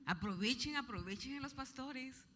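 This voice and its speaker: Bright-voiced woman moderator in a hall. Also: high-pitched, lively intonation, moderate pace, a little hiss or hum. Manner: chatty, encouraging, uses diminutives. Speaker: moderator of a question-and-answer session. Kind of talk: informal moderating to a live audience. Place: large hall at a conference. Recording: reverberant hall sound.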